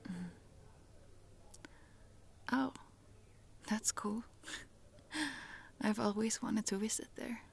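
A woman voicing a friendly, chatty young Swedish traveler with a Swedish accent, in a soft, giggly whisper for an ASMR roleplay. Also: a little high, steady tone, very slow, vocal fry, long pauses, slightly rough, heavily acted.

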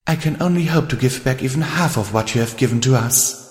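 A man's voice: medium pitch, fairly quick, pitched above the performer's own voice, close to an everyday voice. A man voicing an elegant, tender unicorn mare with a refined, soothing manner, in an audio storybook. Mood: tender, reassuring. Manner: genteel, soothing, affectionate, calls listener dear and darling. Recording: long hall-like reverb.

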